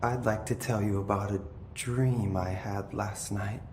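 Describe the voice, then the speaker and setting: A man playing an eerie, slow-spoken captive brother. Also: fairly low pitch, steady tone, darkish tone, reverberant, slight background noise, lightly acted. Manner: slow, drawn-out, unsettling.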